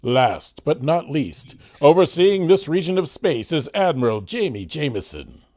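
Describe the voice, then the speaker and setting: A man's voice: on the high side, sing-song, measured pace, a little mellow, no room sound, studio quality. A man reading scripted narration in the style of an old radio serial. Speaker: narrator of an audio drama.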